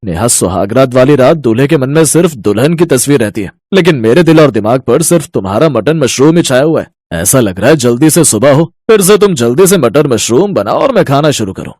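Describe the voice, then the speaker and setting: Guy doing a greedy young husband type in a cartoon, gushing and playful, super expressive intonation. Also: medium pitch, brisk, few pauses, no echo, studio quality, natural voice. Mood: dreamy, doting. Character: gluttonous, food-obsessed young husband or brother-in-law.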